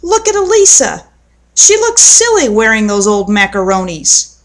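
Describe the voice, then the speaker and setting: A woman's voice: on the high side, big pitch swings, moderate pace. A woman voicing a grumbling older brother, a scornful, protesting schoolboy in a children's storybook. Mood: indignant. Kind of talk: children's storybook read aloud.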